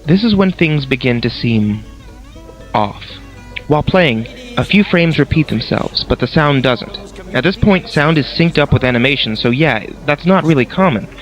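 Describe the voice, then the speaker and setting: A man's voice: a little high, lively intonation, normal speed. A man reading a scripted horror story as its narrator. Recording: dull, lo-fi voice recording. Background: music.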